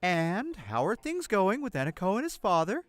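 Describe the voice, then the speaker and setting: An earnest, sympathetic traveller in a fantasy audio drama, acted by a woman. She talks formally with animated, mid-pitched intonation, recorded dry. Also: moderate pace, no room sound, close to an everyday voice. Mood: concerned.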